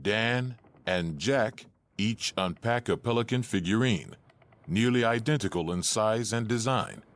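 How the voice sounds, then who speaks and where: A man reading scripted stage directions as narrator, measured and matter-of-fact. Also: lowish voice, expressive.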